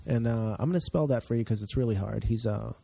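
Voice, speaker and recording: A man's voice: lowish voice, fast, vocal fry, dark tone, husky. A man hosting a podcast, asking questions in an easygoing, chatty way. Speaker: podcast host. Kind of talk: casual interview talk.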